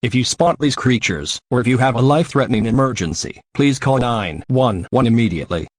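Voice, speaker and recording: A man's voice: medium pitch, animated, a little fast. A man reading a scripted emergency alert as an announcer.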